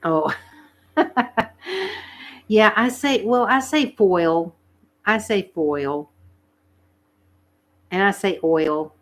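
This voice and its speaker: A woman's voice: fairly low pitch, drawn-out words, pauses often. A middle-aged woman with a Southern US accent, chatting casually and laughing often as an ASMR host. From Southern United States. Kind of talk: casual livestream chat.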